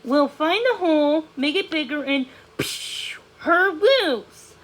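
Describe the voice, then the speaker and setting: A woman's voice: high-pitched, animated, slow, a bit bright, voice pushed up, heavily acted. A woman voicing a confident, bossy male puffin in a storybook, declaiming in a self-important way. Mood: excited scheming.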